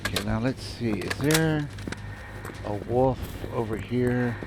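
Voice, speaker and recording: A man casually commenting on his own gameplay, muttering relaxed asides as he plays. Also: mid-range voice, slow, gravelly, heavy background noise. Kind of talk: casual let's-play commentary.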